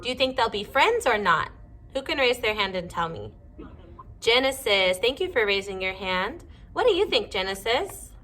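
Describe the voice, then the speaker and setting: A woman teacher reading a storybook aloud to young children, pausing to ask them simple questions. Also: medium pitch, sing-song, sharp tone.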